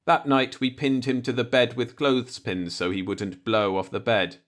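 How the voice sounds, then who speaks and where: A man's voice: mid-range voice, steady tone, normal speed. A man reading a light-hearted short story aloud as an audiobook narrator, in a scripted storytelling style.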